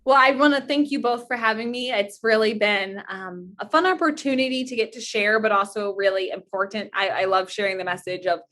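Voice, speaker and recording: A woman's voice: medium pitch, a little fast, few pauses, a bit bright. A woman chatting casually as a guest on an interview show, talking about charity work and favorite things. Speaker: podcast guest, charity advocate.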